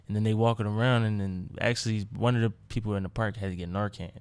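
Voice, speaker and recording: A man who is a podcast guest, casually telling a story in a lively, slangy way. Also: lowish voice, fast, smooth, dry recording. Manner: lively, slangy, animated retelling. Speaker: guest, nonprofit co-founder.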